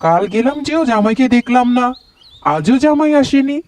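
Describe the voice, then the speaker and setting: A man's voice: very high voice, animated, normal speed, darkish tone. A man playing a fussing, hospitable middle-aged family elder in a cartoon story, bustling and questioning. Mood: bustling, puzzled. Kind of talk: Bengali cartoon story dialogue.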